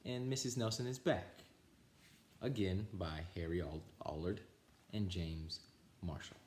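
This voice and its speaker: A man's voice: low-pitched, unhurried, a little mellow, slight background noise. A man reading a children's picture book aloud as a storytime reader, expressively, with small stumbles.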